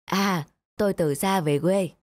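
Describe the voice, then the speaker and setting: A woman's voice: on the low side, measured pace, a little mellow, no echo, lightly acted. A woman voicing a weary, kindly, regretful old man giving reflective advice in a cartoon. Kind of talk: moral-tale cartoon dubbing.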